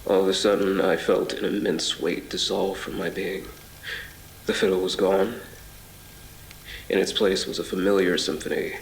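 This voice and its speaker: An actor playing a haunted man recounting a surreal ordeal in a brooding, literary horror audio drama monologue, full of pauses. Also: sits low, fairly even intonation, gravelly, slight background noise. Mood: brooding, uneasy. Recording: reverberant voice over music.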